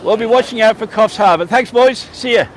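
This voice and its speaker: A man from Wales with a Welsh accent, chatting excitedly to his livestream viewers while commenting on a race at a surf beach. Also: sits high, a little fast, slight background noise, poor audio. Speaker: livestream host. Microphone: phone mic held in hand.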